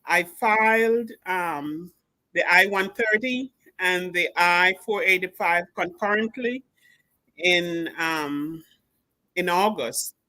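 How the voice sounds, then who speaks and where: A woman calling in with a question, speaking hesitantly and haltingly. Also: on the low side, bright, forceful tone, no room sound. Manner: hesitant, halting, many fillers. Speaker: caller. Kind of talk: call-in question.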